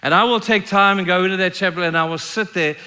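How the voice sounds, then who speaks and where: Male preacher, sixties, from Zimbabwe. Forceful, bright, emphatic delivery of sermon and scripture, long hall reverb. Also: high voice, fast talker. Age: 60s. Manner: emphatic, repeats key phrases for effect. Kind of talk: sermon with storytelling and scripture reading.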